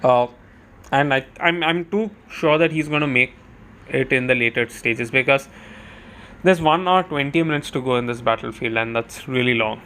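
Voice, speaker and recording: A male streamer talks through live gameplay in a casual, chatty way. His voice sits at an ordinary middle pitch for a man. He thinks aloud as he comments on what is happening. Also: normal speed, fairly bright tone, clean tone.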